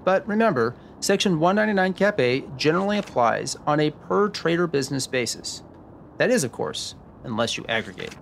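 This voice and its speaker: A man playing a genial, sharp tax expert in a scripted noir radio drama, upbeat and explanatory. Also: on the high side, big pitch swings, brisk, vocal fry, a little hiss or hum. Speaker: podcast host acting in a radio drama.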